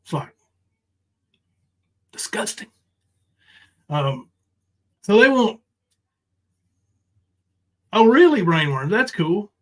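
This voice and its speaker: A middle-aged man with a somewhat high voice talks to his livestream audience. He is loose and informal, speaking off the cuff with rough, sweary language. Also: sing-song, very slow, long pauses. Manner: informal, foul-mouthed. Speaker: livestream host. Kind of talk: casual livestream chat.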